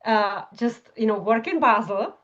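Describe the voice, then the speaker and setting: A woman's voice: fairly high pitch, lively intonation, measured pace, lo-fi sound. A woman guest on a podcast, chatting casually and laughing often. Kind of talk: casual conversational interview.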